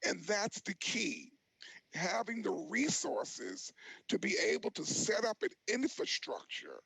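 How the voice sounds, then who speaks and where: A man presenting as an expert to a virtual commission meeting, earnest and halting, with repeated words for emphasis and a raspy, creaky voice. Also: high-pitched, a bit bright, no room sound, low-quality recording.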